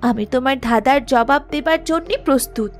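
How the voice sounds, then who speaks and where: A woman's voice: high-pitched, normal speed, faint music behind. A woman voicing a brave, earnest young princess in a fairy-tale cartoon, speaking expressively. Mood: confident.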